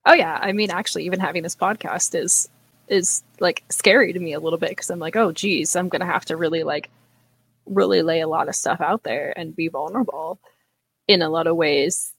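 Husky, gravelly low-voiced woman. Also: a little fast, a bit bright. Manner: candid, laughs often, with small restarts. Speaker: podcast co-host. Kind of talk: casual conversational chat.

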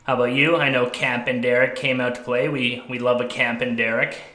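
A man's voice: medium pitch, level tone, a little fast, bright, forceful tone, echoey room. A man co-hosting a podcast, chatting casually and enthusiastically in slangy banter with a friend. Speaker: podcast co-host.

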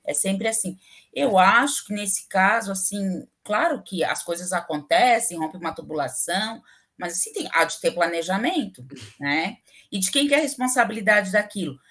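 A woman's voice: medium pitch, lively intonation, moderate pace, a bit bright. A middle-aged woman from southern Brazil with a southern Brazilian accent, a civil engineering professor speaking as a guest in a casual interview. She is chatty and opinionated, and her talk is full of fillers. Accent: southern Brazilian Portuguese.

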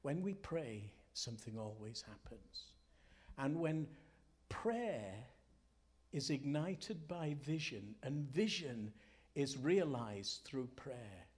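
A man preaching a Sunday sermon and telling stories, earnest and deliberate, with emphatic phrasing and dramatic pauses. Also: medium pitch, expressive. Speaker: guest preacher, former pastor. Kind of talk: Sunday morning sermon, storytelling.

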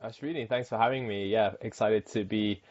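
A man's voice: on the low side, steady tone, fairly quick, darkish tone, highs cut off. A man from the UK, a marketing director, talking casually as an interview guest with frequent fillers and restarts.

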